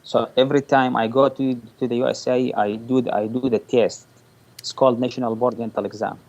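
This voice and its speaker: A man from Iraq, speaking English as an as a caller, halting and searching for words. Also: mid-range voice, level tone, vocal fry, darkish tone. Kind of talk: casual chat.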